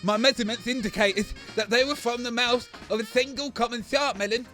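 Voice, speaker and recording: Man acting an indignant, high-voiced environmentalist. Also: fairly quick, pushed and bright, soft background music. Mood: pompous. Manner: pompous, argumentative. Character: indignant, self-important environmentalist debater. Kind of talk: comedy audio sketch acting.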